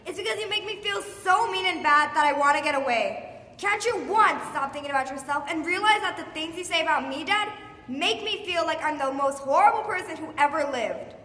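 A woman's voice: high-pitched, animated, brisk, bright, forceful tone. Woman as an indignant, hurt teenage daughter. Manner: accusing, bitter, indignant. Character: angry, hurt teenage daughter confronting her father. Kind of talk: stage monologue. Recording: echoey hall, distant mic.

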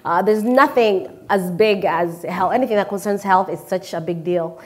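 A woman's voice: mid-range voice, normal speed. A woman, hosting a conversational interview in an encouraging way and repeating phrases for emphasis. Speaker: talk show host. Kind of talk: conversational interview, reading viewer messages. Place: indoor television studio. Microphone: lapel mic.

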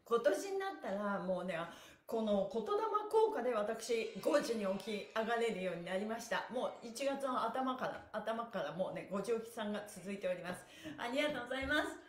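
A middle-aged woman teaches exercise with a high, very lively voice. She is upbeat and chatty, speaking politely to viewers with bright exclamations. The sound is roomy, as if recorded in a small bare room a little way from the camera. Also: moderate pace. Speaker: stretching instructor and live-stream host. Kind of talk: cheerful exercise instruction to viewers.

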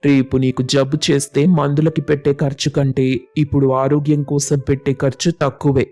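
A man's voice: medium pitch, flat delivery, moderate pace, dark tone, close to an everyday voice. A man playing a frank villager in a moral story, chiding his friend. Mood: teasing, chiding. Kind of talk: animated storybook dialogue.

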